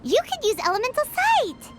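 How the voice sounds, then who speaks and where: A woman voicing a tiny, cheeky, childlike fairy girl companion in a video game, squeaky and bubbly. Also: wildly swooping pitch, moderate pace, exaggerated voice. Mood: eager. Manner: bubbly, refers to self in third person. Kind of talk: scripted video game dialogue. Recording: loud game music under voice.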